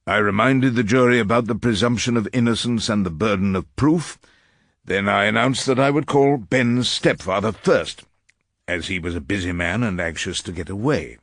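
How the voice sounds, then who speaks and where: An actor playing a wry, irascible veteran English barrister, narrating and questioning witnesses in a dry, theatrical radio drama manner. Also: male voice, lowish voice, expressive, normal speed, a bit bright. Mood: wry narration.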